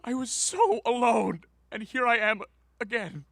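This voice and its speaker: A man's voice: very high voice, big pitch swings, a little slow, gravelly, a bit bright, no echo, full character voice. A man acting in a radio drama as an anguished, guilt-ridden middle-aged father who is defensive and stammers with emotion. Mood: anguished anger.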